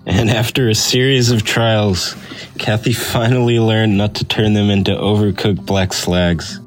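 A man with a low voice reads a personal essay as scripted narration. Faint guitar music plays behind him. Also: little pitch movement, measured pace. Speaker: essay reader.

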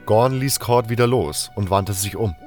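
A man's voice: lowish voice, moderate pace, a little mellow, soft background music. A man reading scripted first-person fantasy narration as an audiobook narrator.

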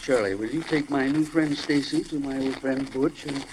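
An actor playing a kindly, middle-aged, absent-minded boss, formal and fussily courteous, in 1940s radio style. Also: male voice, medium pitch, moderate pace, close to an everyday voice. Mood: flustered. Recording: noisy old-time radio recording.

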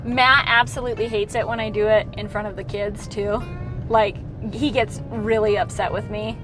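A woman's voice: medium pitch, fairly even intonation, normal speed, music in the background, rough recording. A married woman in her thirties chatting as a podcast co-host, joking and playfully dramatic. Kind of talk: casual chat.

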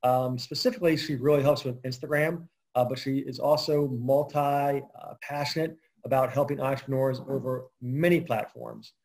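A man's voice: medium pitch, steady tone, normal speed, creaky, few pauses, darkish tone. A man hosting an interview podcast, talking casually with a lot of fillers and restarts. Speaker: podcast host. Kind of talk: conversational interview.

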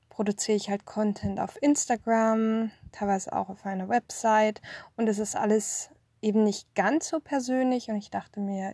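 A woman in her thirties from Swabia talks freely in an unscripted podcast, her voice set a little high. She rambles, fills gaps with hesitations and slips into small laughs. The sound is close and dry, recorded in a parked car. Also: darkish tone, dry recording. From Swabia, Germany. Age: 30s. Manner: rambling, with many fillers and laughs. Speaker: podcast host. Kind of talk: unscripted personal podcast monologue.

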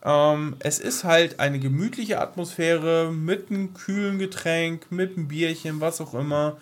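A man's voice: a little high, unhurried. A man co-hosting a podcast, chatting casually and colloquially and rambling along. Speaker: podcast co-host. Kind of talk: casual chat about science fiction.

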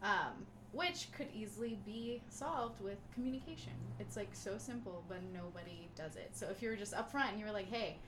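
A woman's voice: mid-range voice, moderate pace, few pauses, noisy background. A woman in her late twenties on a casual podcast, thoughtful with frequent "um"s. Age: 20s. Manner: thoughtful, lots of "um", steady. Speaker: co-host.

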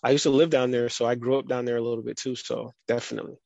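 A man in a casual podcast conversation, talking in a relaxed, rambling way with lots of fillers. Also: medium pitch, rapid-fire, creaky, no room sound, muffled recording. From United States. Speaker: guest.

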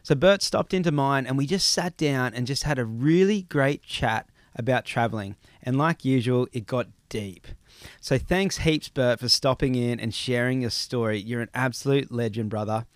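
A man's voice: mid-range voice, moderate pace, no echo, clean studio sound. A man with an Australian accent hosting a podcast, talking in a friendly, upbeat, chatty way. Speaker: podcast host. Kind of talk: casual interview.

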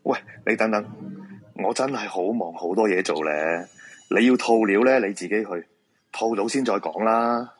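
An actor with a Hong Kong Cantonese accent playing a reluctant, brusque feng shui fortune-teller in a radio drama. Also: male voice, on the low side, moderate pace, husky. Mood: brusque, suspicious. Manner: brusque, protesting, dismissive.

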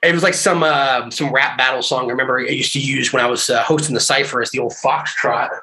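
A man's voice: mid-range voice, fairly even intonation, fast, pushed and bright, a little hiss or hum. A man in casual chat who rambles, swears freely and laughs often.

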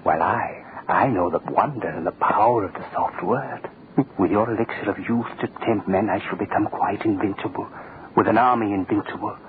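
An actor playing a cold, power-hungry state official in theatrical, menacing, gloating 1940s radio drama style. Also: male voice, on the low side, moderate pace, close to an everyday voice. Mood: grandiose, gloating. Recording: tinny, hissy old radio recording.

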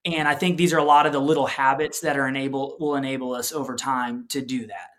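A man's voice: mid-range voice, fairly even intonation, quick pace, a bit bright. A man in his early thirties hosting an interview podcast, talking in an upbeat, casual way. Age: 30s. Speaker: podcast host.

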